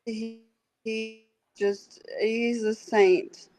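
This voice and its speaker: Woman guest, talks super slow, halting, lots of restarts. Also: fairly high pitch, level tone, darkish tone, poor audio. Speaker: guest, pest control safety director. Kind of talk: casual podcast chat.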